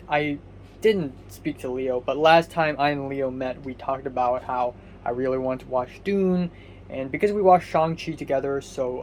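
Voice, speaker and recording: A young man giving a casual diary vlog from his apartment. His voice is muffled and raspy, and he talks hesitantly, rambling with many ums and restarts. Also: medium pitch, a little mellow, a little hiss or hum. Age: young adult. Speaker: vlogger.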